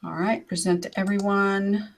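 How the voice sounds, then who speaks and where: An older woman with a raspy voice, chatting loosely as a co-host on an online video call, rambling with fillers and asides. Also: mid-range voice, little pitch movement, moderate pace. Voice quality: raspy from decades of smoking. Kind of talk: casual chat on a video call. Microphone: computer mic on a video call.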